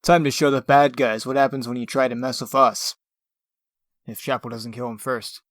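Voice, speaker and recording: A man's voice: mid-range voice, steady tone, normal speed, no room sound, clean studio sound, lightly acted. A man playing a commanding, no-nonsense superhero team leader who barks clipped orders in a comic book dub. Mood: stern, urgent.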